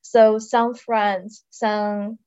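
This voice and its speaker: Brief: Mandarin-native woman panelist, unhurried, muffled call line. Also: mid-range voice, level tone, a little mellow, smooth. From China. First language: Mandarin Chinese.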